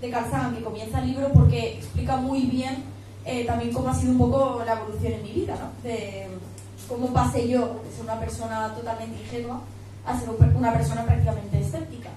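A woman's voice: fairly high pitch, level tone, a little fast, mellow, a little hiss or hum. A young woman from Spain giving a chatty book talk to a pub audience on a handheld mic. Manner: friendly, chatty, with many fillers. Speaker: book author and speaker. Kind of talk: public talk presentation. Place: pub event room. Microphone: handheld microphone. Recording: echoey room, far camera.

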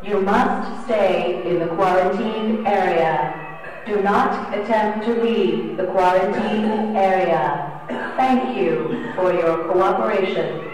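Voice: mid-range voice, slow, noisy background. An actor in a radio play voicing an impersonal, official quarantine announcer with a reverberant effect. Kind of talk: radio drama public announcement. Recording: heavy echoing reverb, distant, music behind.

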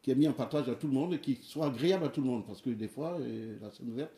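A man who runs open music sessions, explaining hesitantly with many pauses. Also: mid-range voice, warm tone, echoey room. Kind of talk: conversational interview answer.

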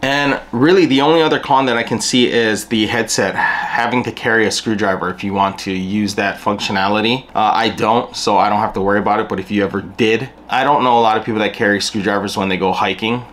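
A man's voice: on the low side, fairly even intonation, brisk, hardly any pauses, a bit bright. A middle-aged man giving a casual, plainspoken product review to camera, explaining things as he goes in a small room at home. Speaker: gear reviewer.

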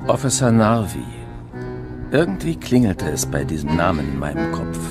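An actor playing an investigator who narrates a radio drama in a measured, reflective way. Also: male voice, low-pitched, very animated. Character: male investigator recalling the case as narrator. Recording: loud guitar music under voice.

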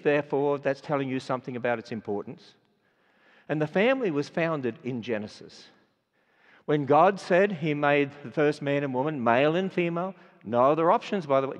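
An older man with an Australian accent preaching a sermon, stressing words and swinging between loud and quiet. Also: fairly high pitch. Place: large auditorium. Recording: dull sound with long hall reverb.

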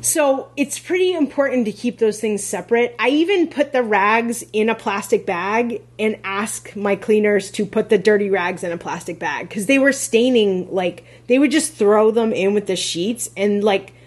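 Woman, podcast co-host, casual chat, husky voice, filler-heavy. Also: medium pitch, normal speed, hardly any pauses. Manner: chatty, many "like" fillers, laughs often.

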